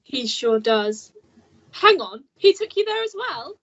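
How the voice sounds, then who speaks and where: Woman playing a high-pitched, scornful jilted lover. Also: sing-song, slow pace, fairly bright tone, exaggerated voice. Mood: jealous. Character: scornful, possessive jilted woman, jealous of rivals. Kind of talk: melodramatic radio play acting. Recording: dull lo-fi recording.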